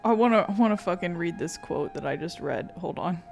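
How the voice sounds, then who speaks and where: A woman's voice: fairly low pitch, lively intonation, fairly quick, gravelly, a little mellow. A woman game streamer giving casual, rambling commentary in a blunt, sweary, sarcastic way. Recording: music behind the voice.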